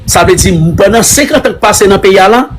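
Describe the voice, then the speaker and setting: A Haitian man whose first language is Creole speaks halting French. He answers questions in a live online conversation, repeating himself often and slipping into Creole words. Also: high voice, a little hiss or hum, rough recording. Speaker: interviewee.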